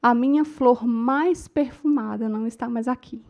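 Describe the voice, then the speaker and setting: A Brazilian woman in middle age leads a class on speaking in public. Her voice sits high, and she tells stories with strong feeling, turning often to her listeners. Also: normal speed, darkish tone. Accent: Brazilian Portuguese. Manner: emotive storytelling, addresses the audience as "gente". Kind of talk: lecture to a class.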